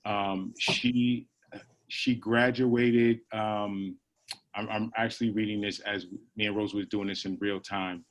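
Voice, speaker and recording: A man with a fairly low voice hosts a video-call panel. He reads introductions haltingly and apologizes when he stumbles over names. The sound carries the plain quality of a computer call. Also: little pitch movement, rough recording. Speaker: panel moderator.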